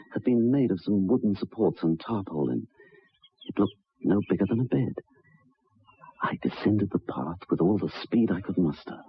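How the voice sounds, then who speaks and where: Husky, very low-voiced troubled gentleman. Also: full character voice. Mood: horrified. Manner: formal, deliberate, halting with hesitations. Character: troubled, earnest gentleman recounting a ghostly tale. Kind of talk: old-time radio drama narration. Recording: dull vintage radio recording.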